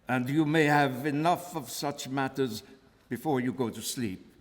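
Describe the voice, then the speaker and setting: A man's voice: mid-range voice, unhurried, lightly acted. Man voicing a stern coach driver, grimly jesting, insistent, gothic storybook character, long hall reverb. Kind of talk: character voice in a live novel reading. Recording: reverberant hall at a live reading.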